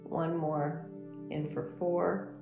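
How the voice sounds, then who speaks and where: A woman leading a guided meditation as a teacher, speaking in a gentle, soothing, unhurried instructional manner. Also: sits low, level tone, gravelly, lo-fi sound. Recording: roomy echo under background music. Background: meditation music.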